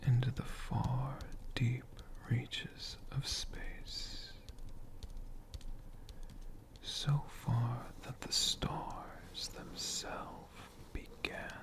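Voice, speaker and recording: Whispered ASMR storytelling, breathy, very slow, long dramatic pauses, gravelly edges. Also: mid-range voice, flat delivery.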